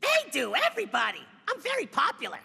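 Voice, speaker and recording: An actor voicing a small, boastful cartoon jungle swindler with a creaky, cocky, wheedling delivery. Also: male voice, very high voice, huge pitch swings, fast, sharp tone, exaggerated voice. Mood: indignant boasting. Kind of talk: cartoon voice acting. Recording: long reverb, music behind.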